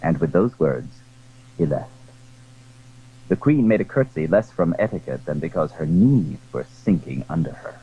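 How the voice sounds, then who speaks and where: Low, husky male dramatic narrator. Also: expressive, moderate pace. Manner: formal storytelling. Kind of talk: dramatic scripted literary narration. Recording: muffled, narrow-band 1980s audio drama recording.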